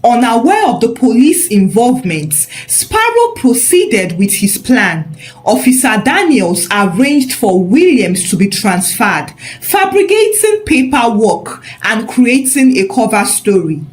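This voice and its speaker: Slow, lively woman storyteller with high voice. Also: soft background music. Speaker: storyteller, narrator. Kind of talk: scripted dramatic storytelling narration.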